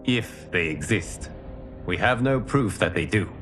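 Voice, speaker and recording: An actor playing an earnest male guardian leader in a fantasy video game, speaking in a formal, measured way. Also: on the low side, animated, music in the background. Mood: grave, resolute. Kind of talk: dramatic video game acting.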